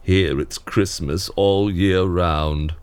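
A man's voice: deep voice, steady tone, slow, smooth. A man playing a weary, sentimental Santa Claus with a grumpy, mischievous streak and a wistful, dry manner in an audio drama. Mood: dryly mischievous.

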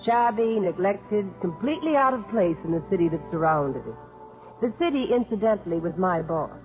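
An actress playing a kindly social worker, narrating a 1950s radio drama in a wistful, expressive way. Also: female voice, medium pitch, normal speed, faint music behind, natural voice. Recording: muffled 1950s radio broadcast.

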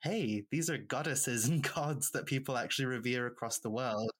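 A man's voice: mid-range voice, moderate pace. Chatty Welsh man telling his story. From rural Wales. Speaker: podcast guest, author and witchcraft practitioner. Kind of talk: conversational interview storytelling.

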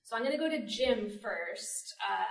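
A woman's voice: on the high side, lively intonation, normal speed. A woman moderating a live panel in a casual, conversational way as its host. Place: public library event room. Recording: roomy live panel recording, distant mic.

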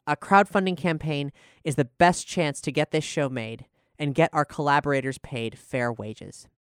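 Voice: medium pitch, normal speed, clean tone, no room sound, clean studio sound. A podcast host and showrunner speaking earnestly and articulately while making announcements and talking about a production.

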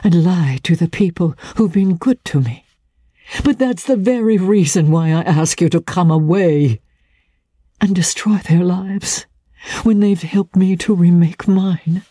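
A woman reading a dramatic audiobook, voicing an anguished, principled lady who refuses her lover in a breathy, distressed but resolute manner. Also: lowish voice, unhurried, mellow, no echo, clean studio sound.

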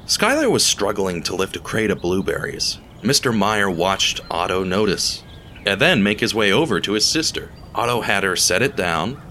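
A man narrating an audio drama in a deliberate, theatrical storytelling style, speaking straight to the listener. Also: lowish voice, bright, forceful tone, slight background noise.

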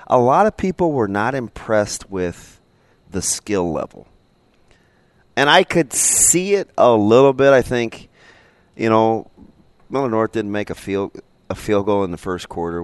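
Deep-voiced, animated man joking around. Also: no echo. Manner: joking, animated, jumps between thoughts. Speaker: radio co-host. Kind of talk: casual chat.

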